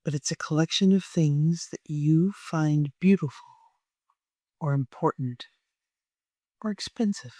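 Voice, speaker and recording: A person leading a guided meditation in a gentle, soothing way, with long unhurried pauses. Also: medium pitch, no echo, clean studio sound.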